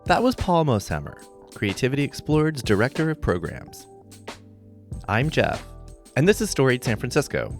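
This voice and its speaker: A man hosting a podcast who introduces the episode and interviews his guest with short, encouraging replies. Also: medium pitch. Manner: friendly, brief encouraging responses. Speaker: podcast host.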